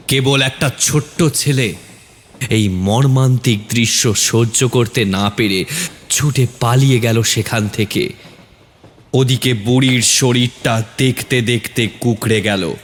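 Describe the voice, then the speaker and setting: A man's voice: mid-range voice, unhurried. A man narrating a scripted horror story as a storyteller. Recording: long reverb on the voice.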